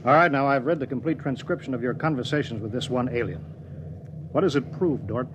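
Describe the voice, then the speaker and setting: An actor playing a stern, weary, resolute starship commander in a 1950s radio drama, authoritative and deliberate. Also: male voice, mid-range voice, background noise, close to an everyday voice. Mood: weary, firm.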